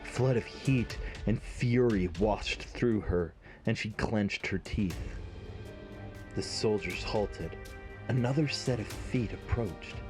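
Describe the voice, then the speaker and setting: A man narrating a scripted fantasy story in a measured, dramatic way. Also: on the low side, over music.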